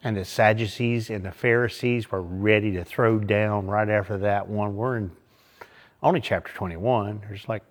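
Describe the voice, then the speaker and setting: A man's voice: fairly low pitch, fairly even intonation, normal speed. A man giving a short, informal talk at a small church, folksy and conversational, poking fun at himself as he goes. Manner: folksy, conversational, self-deprecating. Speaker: lay preacher.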